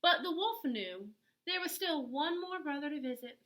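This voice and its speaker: A woman reading a picture book aloud to children as an expressive storyteller, dramatic and full of emphatic pauses. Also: sits high.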